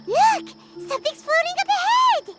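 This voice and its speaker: Tiny excitable fairy mascot for a game: extremely high, squeaky, childlike, unhurried. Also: female voice, exaggerated voice. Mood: excited. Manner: refers to self in third person, exclamatory. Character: tiny chirpy floating fairy companion, female, excitable. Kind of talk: video game character acting. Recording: music playing behind the voice.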